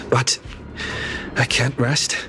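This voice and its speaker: A man playing an exhausted but dutiful worker in a video game, hushed and halting, with heavy sighs. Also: medium pitch. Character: weary, dutiful working man, drained and foggy.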